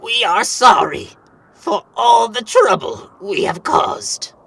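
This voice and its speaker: A solemn cartoon fantasy guardian, acted by a man, bright and gravelly. The grave speech is slow and declaimed, with wide melodic swings. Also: extremely high pitch, lively intonation, full character voice. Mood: apologetic. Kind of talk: animated fantasy drama.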